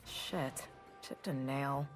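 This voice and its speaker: A scornful rebel bird-woman warrior from a video game. Her low voice delivers every line with dry, sarcastic deadpan, with music underneath. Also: drawn-out words, exaggerated voice. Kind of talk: video game champion voice lines.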